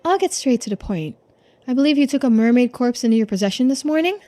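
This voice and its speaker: A woman voicing a poised, unflappable female investigator in a video game, polite and gently needling in measured, formal phrases. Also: on the high side, expressive, natural voice. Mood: businesslike. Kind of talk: game character voiced in a playthrough.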